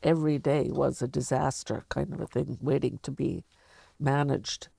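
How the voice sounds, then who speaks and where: An older woman with a Canadian accent, talking reflectively and deliberately as an interviewer in a sit-down conversation. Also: a bass voice, fairly even intonation, creaky, dark tone, husky, dry recording. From Canada, of Latvian descent. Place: furnished indoor lounge studio.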